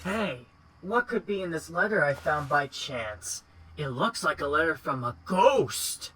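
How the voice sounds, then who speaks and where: Guy reading a sneaky young dude's lines, slow and bright-sounding. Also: a little high, animated, breathy edge, voice pushed up, heavily acted, low-quality recording. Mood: scheming. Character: scheming young man passing off a ghost letter.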